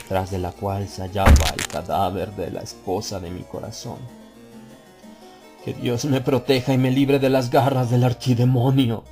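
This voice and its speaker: A man reading a horror story as an audiobook narrator, theatrical, building tension with swells in pitch and loudness. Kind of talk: dramatic scripted narration.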